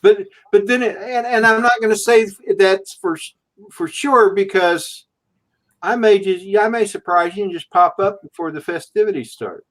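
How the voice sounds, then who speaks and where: Higher-pitched man in his sixties, chatting casually. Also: normal speed, fairly bright tone. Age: 60s. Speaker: host of a group livestream. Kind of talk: casual group chat.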